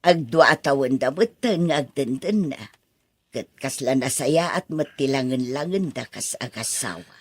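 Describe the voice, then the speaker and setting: Low-voiced kindly old Ilocano mother. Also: lively intonation, moderate pace, slightly rough, heavily acted. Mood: contented, chatty. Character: kindly, talkative elderly mother. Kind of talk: Filipino radio drama acting.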